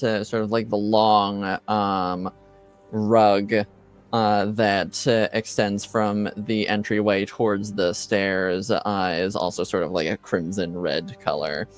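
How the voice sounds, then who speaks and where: A man runs a tabletop game in a low, smooth voice. He speaks slowly and haltingly, pausing mid-phrase as he improvises narration, with faint music underneath. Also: fairly even intonation. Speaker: game master.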